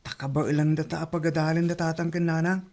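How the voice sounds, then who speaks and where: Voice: mid-range voice, level tone, quick pace, darkish tone, close to an everyday voice. A performer with an Ilocano accent acting a respectful, responsible teenage elder brother in an audio drama. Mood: earnest.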